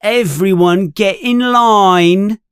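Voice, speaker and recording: A man's voice: mid-range voice, very animated, no echo, studio quality, pitched above the performer's own voice. A man voicing a mean, sneering schoolboy bully who taunts and mocks in a storybook reading. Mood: loud mockery. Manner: taunting, mocking, drawn-out jeers.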